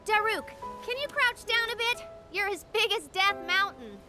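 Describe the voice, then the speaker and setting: A woman playing a perky, bossy little researcher in a video game, playfully and briskly directing others. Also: very high voice, lively intonation, over music. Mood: cheerful, bossy. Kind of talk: video game cutscene acting.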